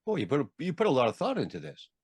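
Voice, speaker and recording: A man's voice: mid-range voice, huge pitch swings, racing, husky, no room sound. A man interviewing someone conversationally, engaged and reflective as he rephrases his thoughts.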